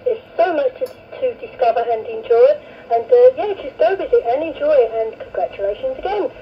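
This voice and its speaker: A woman's voice: high voice, animated, brisk. A woman amateur radio operator chatting casually, heard over a two-way radio. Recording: muffled, noisy FM radio link.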